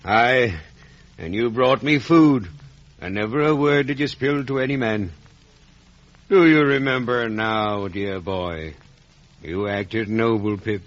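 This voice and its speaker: An actor playing a big, grey-haired ex-convict, rustic, halting and fervently sentimental, in a 1950s radio drama. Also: male voice, on the low side. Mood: fond, humble. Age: older. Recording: dull vintage radio recording.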